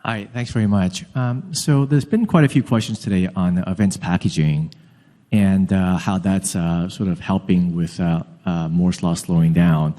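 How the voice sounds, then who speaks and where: A man asking an analyst's questions on a conference call, unscripted and halting, rephrasing as he goes. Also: low-pitched, mellow, long reverb tail.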